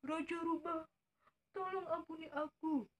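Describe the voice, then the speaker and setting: A woman's voice: very high-pitched, fairly even intonation, unhurried, voice pushed up. A woman voicing a hungry, gullible tiger, king of the forest, in a simply told children's story. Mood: cautious, pleading.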